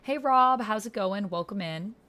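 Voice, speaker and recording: A woman's voice: medium pitch, lively intonation, measured pace, dull audio. A woman streamer talking informally and thinking aloud as she chats with her viewers and plays a text game. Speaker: streamer and tabletop game player. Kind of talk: casual livestream chat, reading out text chat.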